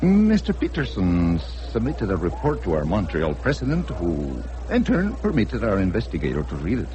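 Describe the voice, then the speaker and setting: An actor playing a suave, formal personal assistant to a Mexican tycoon in a radio drama, speaking with precise courtesy. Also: male voice, low-pitched, wildly swooping pitch, moderate pace. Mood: smooth, persuasive. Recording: noisy old radio drama recording.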